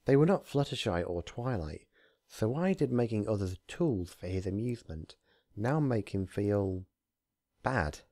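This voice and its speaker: A man with a fairly low voice, recorded dry with little room around it. He hosts story readings, moving between casual talk and narration with deliberate, theatrical phrasing. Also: expressive, darkish tone, no echo. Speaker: fan fiction reader, channel host.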